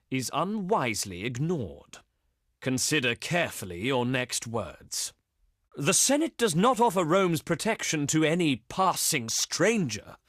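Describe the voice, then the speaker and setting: An actor playing a dignified, shrewd Roman envoy, delivering formal diplomatic oratory for a video game. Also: male voice, fairly high pitch, fairly bright tone, dry recording, studio quality, full character voice. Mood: stern, haughty.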